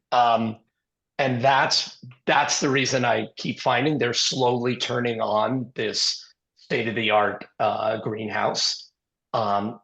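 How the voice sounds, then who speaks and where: A man hosting an interview with a hoarse, scratchy voice, rambling and filling pauses with ums and uhs. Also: lowish voice, a bit bright, lo-fi sound. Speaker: podcast host and investor. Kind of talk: casual interview talk.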